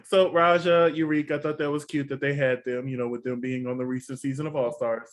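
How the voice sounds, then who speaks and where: A man's voice: medium pitch, level tone, brisk. A man with a Texan accent co-hosting a casual TV recap chat, with sassy asides. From Texas. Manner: chatty, sassy asides like "honey". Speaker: podcast co-host.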